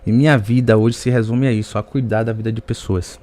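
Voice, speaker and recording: A man's voice: mid-range voice, fairly even intonation, a little fast, warm tone, a little hiss or hum. A man from the Salvador area with a Bahian Brazilian accent, speaking as a podcast guest in conversational personal storytelling.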